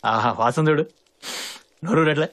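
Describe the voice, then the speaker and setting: An actor playing a bossy, boastful middle-aged husband who fancies himself a cook, with a brisk, commanding manner, in a film comedy-drama. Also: male voice, fairly high pitch, a little hiss or hum. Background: loud background music.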